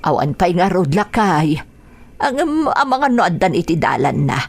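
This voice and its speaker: A woman's voice: lowish voice, sing-song, normal speed. A woman with a Filipino accent playing a worried, peacemaking mother and wife in a melodramatic radio drama, pleading and soothing.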